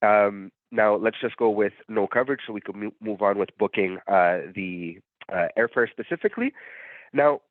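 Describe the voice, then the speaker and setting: A man who is under the weather and sounds nasal, giving a relaxed, explanatory walkthrough of a booking platform with frequent fillers. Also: fairly low pitch, normal speed, dry recording. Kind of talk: live software demo walkthrough. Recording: dry, phone-like narrow sound.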